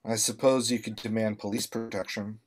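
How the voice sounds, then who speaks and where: A man playing a slick, wary, withering official in an animated film table read, with dry sardonic delivery. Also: lowish voice, moderate pace, natural voice. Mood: dry disdain.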